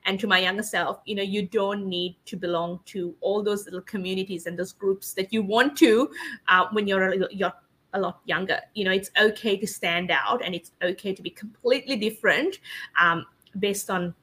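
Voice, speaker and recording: A woman in her thirties, originally from Sri Lanka, answers interview questions as a podcast guest. Her voice is bright and forward, with an earnest and reflective manner. She talks in long, flowing sentences. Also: mid-range voice, steady tone, normal speed, slightly rough. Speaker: podcast guest, publisher and speaker. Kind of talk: interview storytelling.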